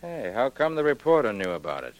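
An actor playing a wary safecracker in a 1940s radio crime drama, clipped and questioning. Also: male voice, mid-range voice, very animated, brisk, highs cut off, lightly acted. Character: terse, wary male safecracker.

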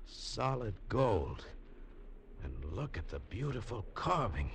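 A man's voice: medium pitch, sing-song, slow pace, fairly bright tone, slight background noise. A man as hero and narrator of a 1950s radio adventure drama, telling the story in an earnest, urgent way.

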